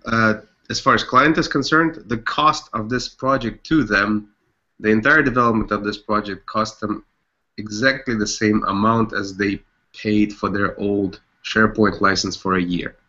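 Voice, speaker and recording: A man's voice: on the low side, fairly even intonation, normal speed, sharp tone, muffled recording. A man from Canada giving an informal online presentation as a developer. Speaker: front-end developer presenting a project.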